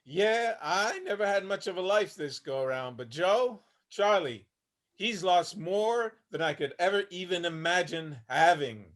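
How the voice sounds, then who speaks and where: High-pitched, world-weary old war veteran, played by a man. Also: lively intonation, measured pace, fairly bright tone. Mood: resigned, friendly. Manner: gritty, plainspoken, world-weary storytelling. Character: haunted, homeless older Vietnam veteran, weary and bitter. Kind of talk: dramatic monologue in a staged play reading. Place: online video call reading.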